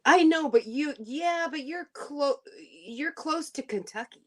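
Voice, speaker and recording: A woman from Alabama chatting as a podcast co-host, excitable and gushing. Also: sits high, a little slow. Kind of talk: casual chat.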